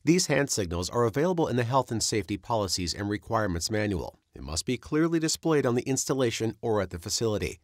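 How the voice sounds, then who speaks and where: A man with a fairly low voice narrates a training course from a script. His intonation is lively and varied while his delivery stays measured and instructive. The voice-over sounds dry and close to the mic. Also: dry recording.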